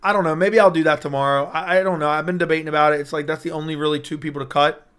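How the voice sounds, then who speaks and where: A young man talks loosely to his livestream chat, rambling and hyped, answering comments as they come in. His voice is strained and keeps cutting in and out, though its tone underneath stays clear. It sits a little high for a man. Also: steady tone, racing, fairly bright tone. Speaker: MMA YouTuber hosting a livestream. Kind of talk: casual livestream chat reading viewer comments. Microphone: personal streaming mic.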